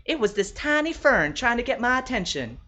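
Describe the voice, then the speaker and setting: A man's voice: very high voice, big pitch swings, a little fast, bright, forceful tone, pitched above the performer's own voice, heavily acted. A man voicing a chatty, gushing woman telling her favorite anecdote in a storybook reading. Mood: animated, chatty. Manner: gushing, conversational. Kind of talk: animated storybook character voice.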